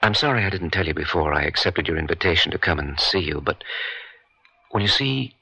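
A man's voice: deep voice, animated, a little fast, creaky, sharp tone, husky, full character voice. An actor playing a shy, hesitant married man in a radio drama, polite and a little awkward. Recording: dull vintage radio recording.

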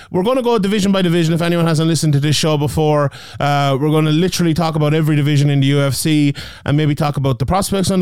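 A man from Ireland with a Limerick accent, hosting a casual podcast chat, talking fast with stumbling restarts. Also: a little high, smooth, no room sound, studio quality. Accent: Limerick Irish. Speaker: podcast host.

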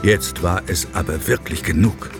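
A man's voice: low voice, normal speed, music in the background. A man narrating a children's audio drama as a dramatic, suspenseful storyteller.

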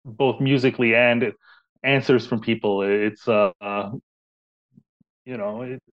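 Male co-host, mid pitch, reflective, hesitant fillers, slightly muffled audio. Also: little pitch movement, a little slow. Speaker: podcast co-host. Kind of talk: casual interview chat.

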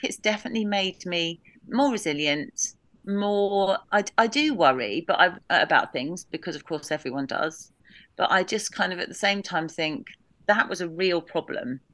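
A woman in her thirties recalling family loss in an interview, halting with many restarts, now and then laughing through it. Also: lowish voice, expressive, a bit bright, no room sound. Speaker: podcast guest. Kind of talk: candid emotional interview.

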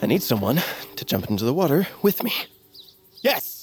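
Animated, eager young adventurer played by a man, music underneath. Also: mid-range voice, moderate pace, lightly acted. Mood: panicked. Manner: earnest, theatrical. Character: eager, dramatic young tribesman on a quest. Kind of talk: audio drama acting.

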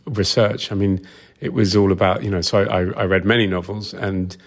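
A man with a fairly low voice speaks as an interview guest explaining his craft. His delivery is casual and reflective, a little halting as he thinks aloud and rewords his sentences. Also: flat delivery. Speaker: film sound designer, interview guest.

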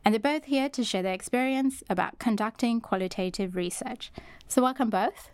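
Brief: female podcast host, smooth resonant voice, friendly and measured. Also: fairly high pitch, darkish tone. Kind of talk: podcast interview.

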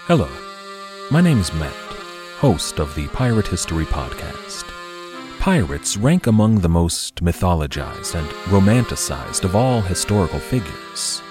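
Deep-voiced man reading a promo over music. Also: big pitch swings, a little slow. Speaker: podcast host. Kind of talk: scripted podcast promo.

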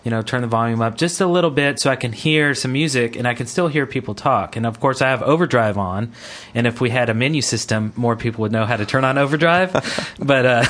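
A man's voice: mid-range voice, a bit bright, a little hiss or hum. A man co-hosting a podcast in a casual, joking, conversational way. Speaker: podcast co-host.

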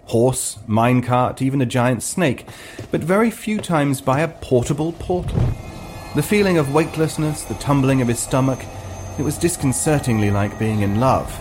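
A man's voice: medium pitch, normal speed, noisy background. A man reading scripted fiction as narrator, in a dramatic comic storytelling style. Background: ambient sound effects.

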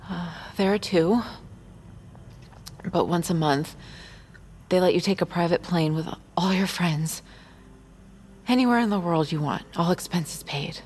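A woman's voice: lowish voice, a little slow, pauses often, a little mellow, a little hiss or hum, close to an everyday voice. A woman in an audio drama playing a weary, reserved character in a naturalistic way. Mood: softening. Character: weary, reserved woman, older than her Gen Z companion.

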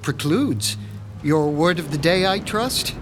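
An actor playing a meek, sinister underground doctor who speaks formally and softly sardonic. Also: male voice, a little high, lively intonation, takes it slowly, noisy background. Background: music score.

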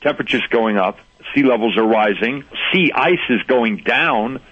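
Older guy on a radio show, husky low voice, earnest and pushy about his point, muffled lo-fi sound. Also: expressive, normal speed. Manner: earnest, persuasive, chuckles easily. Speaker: guest. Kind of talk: radio interview.

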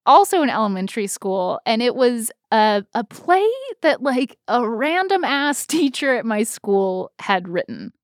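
Female co-host, higher-pitched, casual and self-deprecating storyteller, dry studio-like sound. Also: sing-song, a little slow, hardly any pauses, no room sound. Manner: rambling, self-deprecating, informal. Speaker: podcast co-host. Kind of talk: casual chat, personal storytelling.

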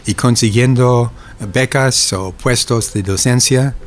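An older man from the United States with an American accent, a native English speaker, answering interview questions reflectively and haltingly, often repeating syllables as he searches for words. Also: medium pitch. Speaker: interviewee, academic eyewitness.

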